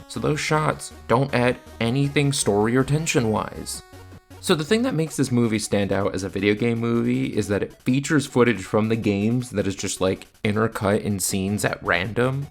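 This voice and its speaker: A man's voice: medium pitch, gravelly. A man narrating a scripted video review with casual asides, in a blunt, exasperated manner.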